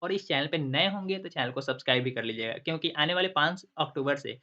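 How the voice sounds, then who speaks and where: A man's voice: fairly high pitch, quick pace, sharp tone. A man teaching an online class as the channel host, walking through a screen tutorial and asking and answering his own questions as he goes. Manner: asks himself questions and repeats the answers like a teacher. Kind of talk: screen-recorded tutorial explaining step by step. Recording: dull lo-fi recording.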